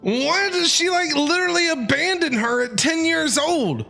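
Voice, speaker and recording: A man's voice: extremely high pitch, normal speed, gravelly. A man giving casual, exclamatory live reactions as a game streamer. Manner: animated, exclamatory. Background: game music.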